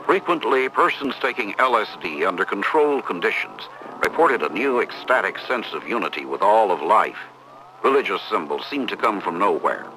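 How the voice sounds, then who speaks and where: A man's voice: fairly low pitch, very animated, normal speed, pushed and bright, a little hiss or hum, rough recording. A man reading scripted documentary narration on an old educational film.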